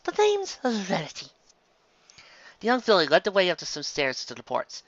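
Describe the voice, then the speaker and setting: A man reading fantasy fiction aloud as an amateur storyteller, expressive, occasionally stumbling over words. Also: a little high, fast talker, no echo, muffled recording.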